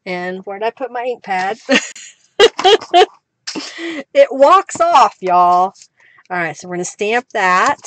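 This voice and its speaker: Slow-talking woman crafting live. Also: on the high side, a little hiss or hum. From Oklahoma, US. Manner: chatty, giggly, thanks viewers, hums while working. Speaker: crafting livestream host. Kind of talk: casual live crafting chat. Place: craft room at a desk.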